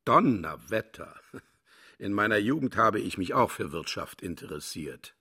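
A cynical, self-important boss in a satirical radio play. His husky voice swings widely in pitch as he makes grand, theatrical pronouncements. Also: big pitch swings, a little slow, sharp tone, exaggerated voice. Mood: curious, pompous. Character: pompous, cynical male slaughterhouse personnel chief posing as philosopher.

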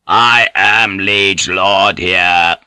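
A man voicing a cold, ruthless Japanese feudal warlord in an audiobook, curt, commanding and deliberate. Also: deep voice, bright, forceful tone, no room sound, pitched below the performer's own voice, exaggerated voice. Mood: cold, menacing. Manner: curt, menacing, slow and deliberate. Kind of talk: dramatic audiobook character reading.

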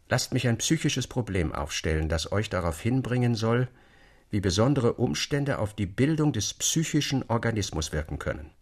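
A man playing an eloquent storyteller in a literary radio reading, expounding a dark case with deliberate, rhetorical phrasing. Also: on the low side. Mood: measured, pondering. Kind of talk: literary audiobook reading as dramatic monologue.

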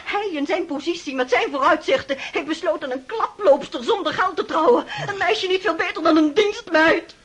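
A woman's voice: extremely high pitch, normal speed, hardly any pauses. An actress playing a proud, anxious middle-aged mother who reproaches her son. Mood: indignant. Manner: bitter and reproachful. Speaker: actress in a radio play. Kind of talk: radio drama acting.

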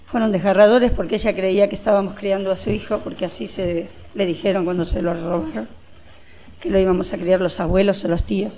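A woman's voice: medium pitch, steady tone, brisk, mellow, a little hiss or hum. An Argentine woman giving spoken testimony as a relative in a documentary. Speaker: interviewee, sister-in-law giving testimony.

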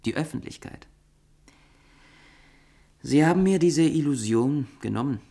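A man's voice: fairly high pitch, lively intonation, a little slow, gravelly, warm tone, exaggerated voice. An actor in a German radio play playing an earnest, disillusioned intellectual who argues his case stubbornly. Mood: resigned.

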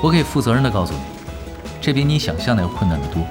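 A man's voice: medium pitch, big pitch swings, very fast, natural voice. A man playing a cocky, nonchalant expert gamer in an audio drama, blunt, smug and offhand. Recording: music bed under the dialogue.

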